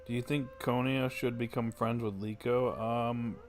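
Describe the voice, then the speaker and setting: A man's voice: mid-range voice, little pitch movement, normal speed, noisy background. A man livestreaming a video game, chatting casually in a laid-back, musing way. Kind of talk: casual chat while streaming a game.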